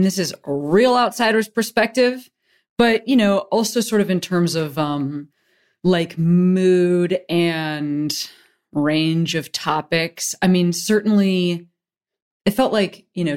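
A woman's voice: on the low side, a little mellow. A woman hosting a casual interview, talking in a rambling, hedging way full of fillers. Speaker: interview host.

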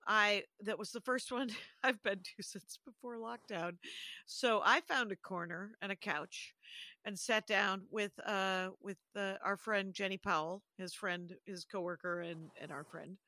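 A woman's voice: mid-range voice, steady tone, unhurried, pushed and bright, no room sound. A woman co-hosting a podcast, telling stories in a rambling, self-interrupting way with frequent laughs. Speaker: podcast co-host. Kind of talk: casual chat.

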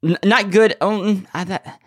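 A man's voice: high-pitched, steady tone, measured pace, no echo, studio quality. A man hosting a casual podcast discussion, thinking aloud hesitantly and correcting himself as he goes. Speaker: podcast host.

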